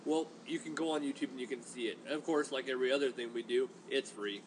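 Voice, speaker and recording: A man's voice: medium pitch, fast talker. A man hosting a casual podcast, a self-styled entertainer who addresses his listeners directly. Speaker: podcast host. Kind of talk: casual chat. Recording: noisy home recording.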